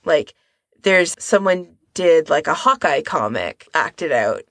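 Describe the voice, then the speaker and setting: A woman's voice: low voice, big pitch swings, slow pace, fairly bright tone, dry recording, studio quality. A woman co-hosting a podcast, talking in a casual, conversational style. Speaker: podcast co-host. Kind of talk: casual discussion.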